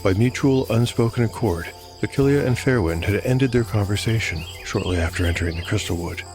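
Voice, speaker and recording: A man with a low voice delivers scripted narration as the host of a fantasy audio drama. Music plays clearly behind him, along with chirping insects. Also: a little fast, a little mellow.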